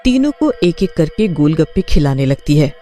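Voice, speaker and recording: A man's voice: fairly high pitch, very animated, normal speed, dark tone, soft background music. A man reading scripted narration for a cartoon story.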